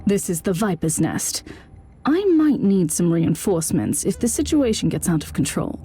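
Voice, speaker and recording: A woman's voice: lowish voice, sing-song, moderate pace, dark tone. An actress playing a stern, zealous female inquisitor who speaks firmly and curtly in a video game cutscene. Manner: firm, curt, commanding.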